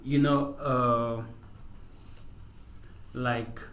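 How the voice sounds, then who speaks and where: A Nigerian man in his thirties, a Hausa speaker from the north, answering interview questions in a halting, unhurried way, letting sentences trail off softly. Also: mid-range voice, little pitch movement. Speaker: podcast guest, professional footballer. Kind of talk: casual interview conversation. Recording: echoey room, faint background noise.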